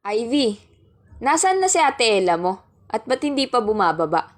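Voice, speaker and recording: High-voiced caring mother played by a woman. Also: big pitch swings, moderate pace. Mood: brisk, caring. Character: caring, hard-pressed mother hurrying her children along. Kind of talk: amateur radio drama acting. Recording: faint noise, clicks and clatter.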